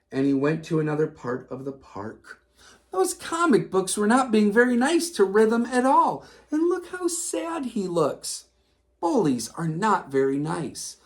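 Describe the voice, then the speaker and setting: Woman, children's storybook reader, read-aloud narration, highly varied expressive pitch.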